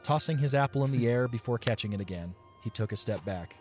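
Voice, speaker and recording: A man's voice: medium pitch, expressive, quick pace. A man reading scripted story narration for a fantasy audio drama. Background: soft music.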